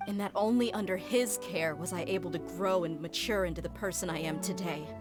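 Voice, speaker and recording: A woman playing a tough, frank, warm-hearted young desert mercenary in a video game. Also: on the low side, a little fast, natural voice. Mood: resolved. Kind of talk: video game dialogue acting. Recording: game music behind the voice.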